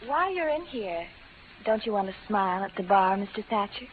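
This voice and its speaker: Actress as sly saloon woman, teasing, medium pitch, tinny vintage radio western. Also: sing-song, fairly quick, natural voice. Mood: taunting. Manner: teasing, insinuating. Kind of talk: 1940s-50s radio western acting. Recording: muffled, noisy vintage radio recording.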